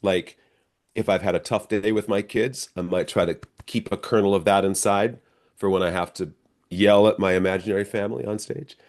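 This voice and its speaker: A man's voice: on the low side, fairly even intonation, moderate pace, a little mellow. A middle-aged American man, an actor being interviewed, telling stories casually and acting out bits of dialogue as he goes.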